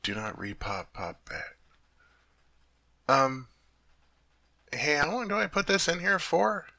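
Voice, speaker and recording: Bright, gravelly, innocent teddy bear turned man, acted by a man. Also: fairly high pitch, sing-song, slow, no room sound, heavily acted. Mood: puzzled, muttering. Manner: innocent, earnest, chatty, puzzled by human things. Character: sweet, naive, cuddly teddy bear turned into a tall man. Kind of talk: ASMR roleplay addressed to the listener.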